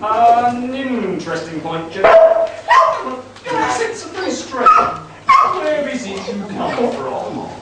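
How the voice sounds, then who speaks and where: A man in an amateur radio play playing a formal, polite committee chairman who is measured and a little baffled. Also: very high voice, wildly swooping pitch, exaggerated voice. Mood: doubtful. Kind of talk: amateur live comic radio play acting. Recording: echoey hall, distant, loud noise.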